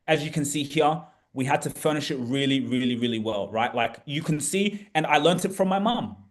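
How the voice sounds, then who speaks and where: A young Australian man in a casual vlog, telling a story with lively, repeated emphasis as a property coach. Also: a little high, normal speed. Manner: animated, repeats words for emphasis.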